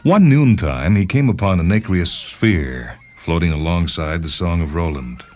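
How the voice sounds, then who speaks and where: A man's voice: low voice, animated, a little slow, darkish tone. A man hosting a radio story program, reading scripted literary narration. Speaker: host and reader of a radio short-story program. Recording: music under the voice.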